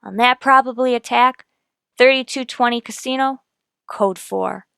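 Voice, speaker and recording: An actress plays a dogged female police detective telling her case for a radio procedural. Her voice is smooth and clean, recorded dry. She keeps it terse, businesslike and matter-of-fact. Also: a little high, unhurried, a bit bright, no echo. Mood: brisk, official. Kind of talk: clipped police-procedural radio drama narration.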